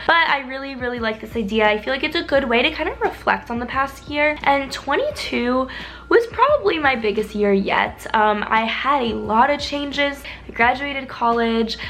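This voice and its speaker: A woman's voice: fairly high pitch, a bit bright. A woman in her twenties chatting casually to a small vlog camera indoors, rambling with lots of likes and restarts. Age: 20s. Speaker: beauty vlogger. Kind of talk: casual talking-head vlog. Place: indoors, with street noise outside.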